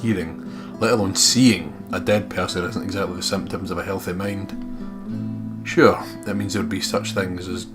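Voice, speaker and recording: A man co-hosting a let's play, reading the game's text as expressive narration and adding casual commentary. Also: sits low, normal speed. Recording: game music underneath.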